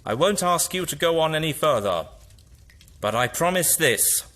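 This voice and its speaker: Man acting animated, eccentric sci-fi hero. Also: fairly high pitch, normal speed. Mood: resolute. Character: eccentric, resolute male time-travelling hero, or his villainous double. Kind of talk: audio drama acting.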